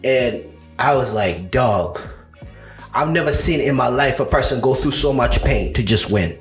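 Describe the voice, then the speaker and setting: A man's voice: mid-range voice, lively intonation, a little slow, soft background music. Rambling man in an echoey room. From Uganda. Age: 30s. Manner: rambling storyteller, full of "you know" and restarts. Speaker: co-host of a combat sports show. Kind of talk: casual podcast chat.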